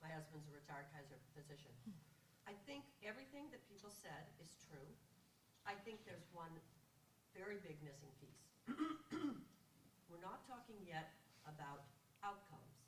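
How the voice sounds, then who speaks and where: A woman's voice: lowish voice, big pitch swings, normal speed, long pauses. Frank older woman, echoey distant hall. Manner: frank, emphatic, self-deprecating humor. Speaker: audience member asking a question. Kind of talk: panel Q&A comment. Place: conference hall audience. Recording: echoey hall, distant mic, room noise.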